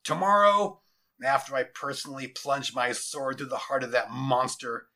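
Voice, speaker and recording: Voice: mid-range voice, moderate pace, pushed and bright. A storybook narrator voicing a menacing, self-righteous military general who speaks in a commanding, condescending way. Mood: boastful. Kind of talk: dramatized storybook character voice.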